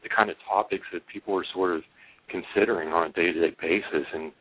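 A man calling in to a show by telephone, chatting casually and reflectively in halting phrases. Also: low voice, fairly even intonation. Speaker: caller. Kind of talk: casual, reflective phone chat. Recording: muffled narrow telephone line.

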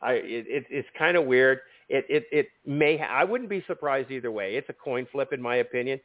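Hoarse man on a tinny call line. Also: mid-range voice, lively intonation, no echo. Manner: halting, repeats words when starting. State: losing his voice, sore throat. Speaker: podcast host. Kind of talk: casual sports chat. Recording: dry tinny phone-like line.

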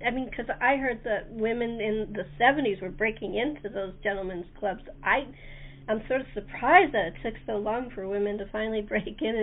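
A woman hosting a casual radio interview, talking in a chatty, informal, anecdotal way. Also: a little high. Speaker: interviewer, show host. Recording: thin, narrow phone-like sound.

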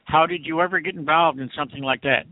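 A man with a slightly high voice co-hosts a radio interview in a prepared, read-out style. The recording is dry and narrow, like a phone line. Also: fast talker, no echo. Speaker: radio show co-host.

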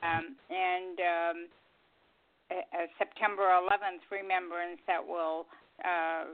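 A woman chatting and announcing upcoming programs in a halting, chatty way as a radio co-host. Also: on the low side, flat delivery. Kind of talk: casual radio chat and show announcements. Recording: tinny phone line, faint music behind.

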